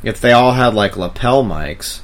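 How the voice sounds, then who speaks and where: A man chatting casually in a gravelly, creaky voice, with a hesitant, meandering delivery. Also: on the low side, expressive, a little slow. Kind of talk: casual rambling chat with frequent profanity.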